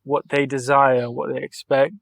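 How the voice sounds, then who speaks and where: A young man with a mid-range voice chats in a relaxed way as a podcast guest. He speaks earnestly and thoughtfully, letting his sentences run on. The sound is dry and close, with no room echo. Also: medium pitch, moderate pace, gravelly. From Mallorca, Spain. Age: young adult. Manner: earnest and reflective, thinks aloud in long flowing sentences. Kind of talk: relaxed interview conversation.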